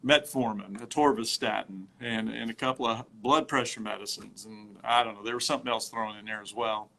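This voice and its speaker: A middle-aged man talking casually on a phone livestream from home, telling his story haltingly with many fillers and restarts. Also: on the low side, fairly even intonation, creaky, sharp tone.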